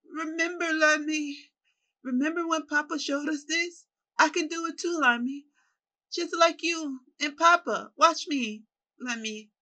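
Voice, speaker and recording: Narrator putting on a childlike grown man. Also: a little slow. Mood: pleading. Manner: high excited pleading, like a child. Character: childlike man, lost brother, desperate for approval.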